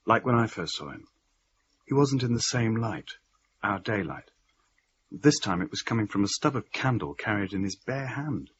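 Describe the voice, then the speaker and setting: Earnest rational man, lowish lively voice, measured storytelling, radio play, slightly muffled. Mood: measured, intrigued. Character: earnest man recounting a haunting, rational-minded. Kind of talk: radio play narration and dialogue. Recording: slightly muffled, faint background noise.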